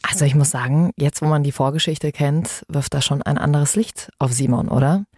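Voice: medium pitch, moderate pace, darkish tone. A podcast host moderating a discussion in a conversational style.

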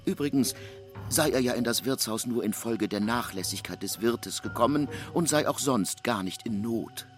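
A man's voice: medium pitch, normal speed, soft background music. A man giving formal, emphatic scripted narration of a literary classic, including reported speech.

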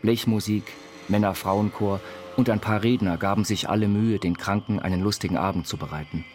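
An actor playing an eccentric self-taught inventor, narrating his life story in measured, quaintly formal phrases for an audio biopic. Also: on the low side, steady tone, a little hiss or hum, lightly acted. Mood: plain letter reading. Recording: studio voice over loud music.

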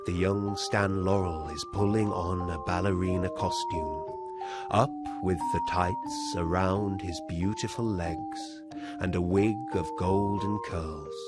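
A man giving a scripted storytelling performance in a deliberate, measured manner. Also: low voice, steady tone. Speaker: performer and narrator. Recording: loud music over the voice. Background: prominent music.

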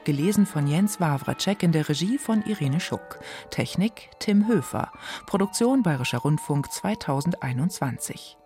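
A woman's voice: low-pitched, expressive, moderate pace, hardly any pauses, mellow. A woman hosting a literary radio programme with a scripted presenting style. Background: faint music.

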